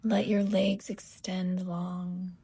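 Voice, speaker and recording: Breathy, near-whispering woman guiding relaxation, very slow. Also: lowish voice, level tone. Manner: gentle, slow phrases with long pauses. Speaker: yoga teacher guiding a meditation. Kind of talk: guided relaxation meditation, hushed and soothing.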